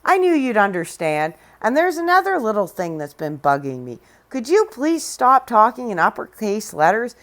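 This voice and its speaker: A woman's voice: fairly high pitch, sing-song, fairly bright tone. A woman voicing a cocky, wisecracking boy named Jack in a storybook read-aloud. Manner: brash, sarcastic, quick.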